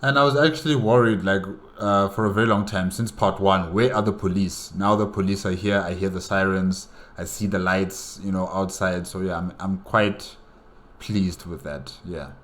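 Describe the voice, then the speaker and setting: A man giving casual reaction commentary, halting and thinking aloud as he repeats himself. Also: deep voice, fairly even intonation, fairly bright tone. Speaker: reaction video host. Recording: noisy home recording.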